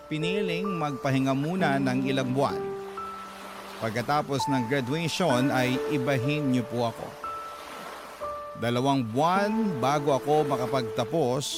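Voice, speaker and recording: Male Filipino storyteller for radio drama, fairly high voice, scripted first-person narration over very prominent music. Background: loud music.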